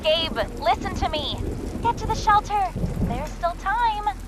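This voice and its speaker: An actress playing a sarcastic, protective comrade in a video game, blunt and teasing. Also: female voice, very high voice, lively intonation, a little slow. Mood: urgent. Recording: clear background noise over dialogue.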